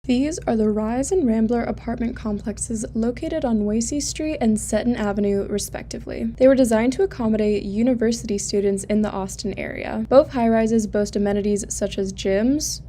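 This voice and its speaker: A woman's voice: a little high, moderate pace, hardly any pauses, warm tone, slight background noise. A woman reporting in scripted news narration for student television.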